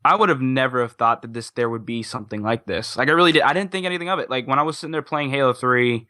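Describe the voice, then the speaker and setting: Young male podcast talker: crisp bright tone, casual, enthusiastic, wordy, recorded close and dry. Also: medium pitch, fast, no room sound. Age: young adult.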